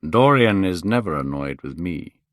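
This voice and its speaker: A man voicing a worldly, cynical aristocrat with a slow, musical voice in a storybook reading. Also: low-pitched, animated, no room sound, studio quality.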